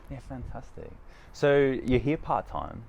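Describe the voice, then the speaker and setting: A man's voice: mid-range voice, very animated, measured pace, creaky, darkish tone, dull audio, slight background noise. A man, hosting a casual interview at his clinic and recapping his guest's answers, recorded outdoors with a lapel mic. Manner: friendly, summarizing questions. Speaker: clinic owner and host. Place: outdoor veranda, daytime.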